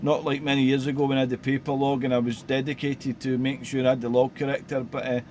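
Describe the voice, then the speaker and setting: A man's voice: mid-range voice, level tone, fast talker, a little mellow, slight background noise. A man from Glasgow chatting casually on a home radio station by the sea, friendly, with many filler sounds. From Scotland. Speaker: radio amateur.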